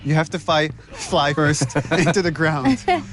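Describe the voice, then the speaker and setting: A man's voice: a little high, sing-song, measured pace, fairly bright tone. Man giving casual analytical commentary. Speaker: game director and writer commenting on scenes. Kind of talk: casual behind-the-scenes commentary.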